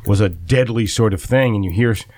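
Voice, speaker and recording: A man's voice: fairly low pitch, fairly quick, darkish tone, no echo. A man co-hosting a casual radio discussion, feeling his way through points in hesitant, roundabout phrasing. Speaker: radio co-host.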